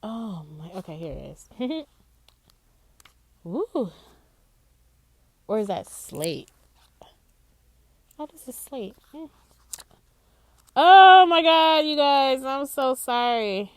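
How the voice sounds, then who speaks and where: Nasal, congested woman reading cards slowly. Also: sits high, sing-song. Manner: slow and drawn-out, with frequent "okay?" check-ins. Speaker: psychic tarot reader. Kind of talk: casual spiritual card reading.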